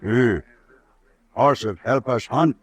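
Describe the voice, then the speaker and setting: Cast a proud old chief for a vintage radio western, slow, few words, dry sound. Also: male voice, mid-range voice, dry recording. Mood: stoic. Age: older. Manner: slow, terse, clipped broken English. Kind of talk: 1950s radio drama acting.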